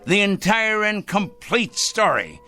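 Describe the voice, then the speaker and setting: Radio drama role: a self-righteous zealot, wildly swooping pitch, bright insistent edge, fussy and repetitive. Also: male voice, slow, soft background music, exaggerated voice. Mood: gloating, fervent. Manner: fussy, excitable, repeating himself emphatically. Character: fanatical, self-righteous male crank obsessed with punishing evil.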